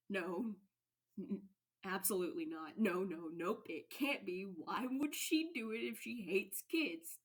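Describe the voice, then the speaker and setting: A woman's voice: mid-range voice, breathy edge. An agitated teenage schoolboy from an audio story, played by a woman. He is emphatic and repeats himself, flustered but with a cheeky, defiant streak. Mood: panicked disbelief. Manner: rapid repeated muttering, emphatic.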